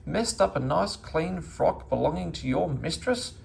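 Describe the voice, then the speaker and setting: A man's voice: fairly high pitch, animated, a little slow, vocal fry, a little mellow, slight background noise, voice pushed up, exaggerated voice. A man voicing a flustered, grumpy bumbler who protests and scolds in a children's storybook. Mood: scolding, exasperated.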